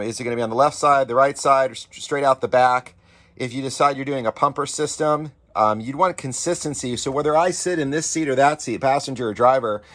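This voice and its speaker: A man's voice: mid-range voice, fairly bright tone. Casual man explaining a hands-on install. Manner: informal, explanatory, addressing viewers as guys. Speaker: DIY off-road channel host.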